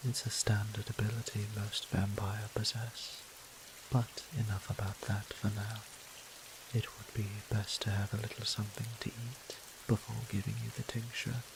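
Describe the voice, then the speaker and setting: A man playing a gentle, doting vampire priest and healer in a roleplay audio drama, speaking softly in formal, old-fashioned, courteous phrasing. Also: lowish voice, noisy background, lightly acted. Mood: attentive, soothing. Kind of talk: scripted roleplay audio drama, addressing the listener.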